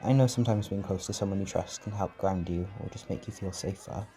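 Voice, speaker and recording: A man playing a gentle, protective caretaker in a roleplay comfort audio, speaking in a soft, reassuring, tender way. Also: low voice, brisk. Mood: tender, soothing. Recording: music and party chatter behind.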